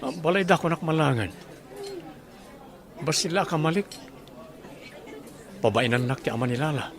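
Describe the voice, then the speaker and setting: A man's voice: on the high side, lively intonation, normal speed, crowd noise. A man playing a troubled father in a busy restaurant. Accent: Ilocano. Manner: troubled, confiding. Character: worried father Jojo. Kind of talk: radio drama acting.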